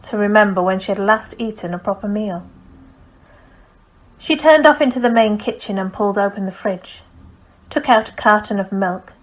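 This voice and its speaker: Woman, audiobook narrator, scripted novel reading, mid-range pitch. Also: poor audio.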